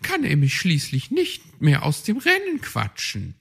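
A man voicing a sharp-tongued, competitive female magpie with a hissing, haughty manner. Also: fairly high pitch, wildly swooping pitch, a little slow, gravelly, breathy edge, pitched above the performer's own voice, full character voice. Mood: scheming.

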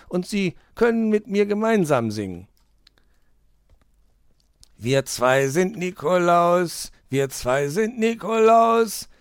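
A man's voice: sits high, creaky, a little mellow, dry recording, clean studio sound, pitched above the performer's own voice, exaggerated voice. A man voicing a grumbling, put-upon fox for a children's story, whiny and huffily formal. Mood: jolly singing. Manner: whiny, scolding, exaggerated.

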